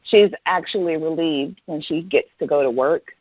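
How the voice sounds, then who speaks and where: Low-voiced woman, muffled sound. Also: moderate pace, no room sound. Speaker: guest author. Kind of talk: reading aloud from own novel, then interview chat.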